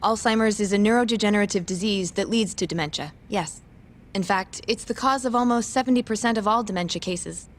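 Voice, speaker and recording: An actress in an English game dub playing a polite, reserved young medical professional who explains things in a professional manner. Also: female voice, medium pitch, brisk, slight background noise, natural voice. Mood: matter-of-fact.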